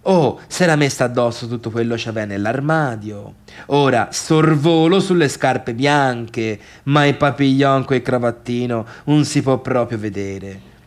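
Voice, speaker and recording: An actor playing an agitated, mocking misfit in a colloquial, emphatic stage monologue, as if confiding in someone. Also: male voice, medium pitch, measured pace, close to an everyday voice. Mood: scornful, amused. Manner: conversational, emphatic, repeats himself, chuckling asides. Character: mocking, agitated man recalling a wild party, self-deluded misfit. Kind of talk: theatrical monologue in colloquial Italian.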